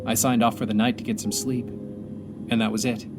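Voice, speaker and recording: A man playing a weary, grieving astronaut commander stranded alone, speaking an earnest, halting audio drama monologue. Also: on the low side, flat delivery, over music, lightly acted. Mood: worried.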